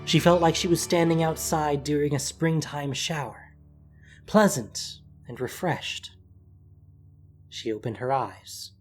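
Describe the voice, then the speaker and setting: Audio drama narrator, mid-pitched voice, scripted prose delivery, faint music underneath. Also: slow.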